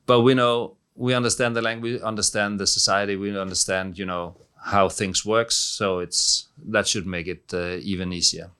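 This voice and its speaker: Danish-accented man, very low smooth voice. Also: steady tone, sharp tone. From Denmark. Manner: halting, many fillers and restarts. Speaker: head coach. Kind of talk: press conference answers.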